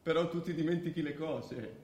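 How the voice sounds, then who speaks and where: A man's voice: on the high side, normal speed, darkish tone, close to an everyday voice. A man acting in an Italian radio drama as a domineering, anguished grown son seizing his father's power, insistent and prone to repeating himself. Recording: roomy, echoing radio drama recording.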